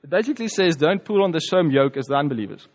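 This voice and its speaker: A man with a South African accent, a native Afrikaans speaker, giving a reflective personal testimony as a preacher, conversational and halting with fillers. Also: on the high side, animated, big-room sound.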